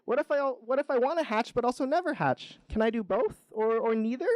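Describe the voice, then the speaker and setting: A worried storybook egg, put on by a man reading aloud, pitched high. It frets and complains in frantic questions, sounding whiny and deeply unsure of itself. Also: moderate pace, no echo, low-quality recording. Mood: anxious, fretting. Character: anxious unhatched egg, fretting and whiny.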